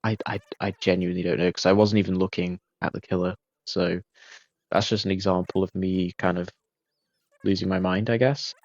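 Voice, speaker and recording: A man giving relaxed post-game commentary over his gameplay, laid-back and self-critical, with frequent ums and uhs. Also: low-pitched, a little fast, dry recording, dull audio. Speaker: gaming video creator.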